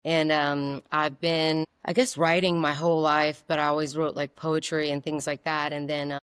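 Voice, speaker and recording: Raspy woman, flat delivery. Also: deep voice, moderate pace, dry recording, clean studio sound. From South Louisiana. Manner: open, rambling, many fillers. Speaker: singer-songwriter guest. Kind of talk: casual interview answers.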